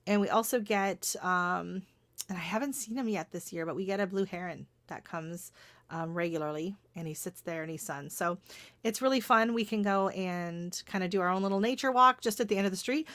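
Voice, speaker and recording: Woman, middle-aged, from Canada, livestream host, hoarse, chatty, dry sound. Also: medium pitch, brisk, a bit bright, no echo. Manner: chatty, laughs often, greets viewers by name. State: voice going hoarse. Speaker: gardening channel host. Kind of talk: casual livestream chat.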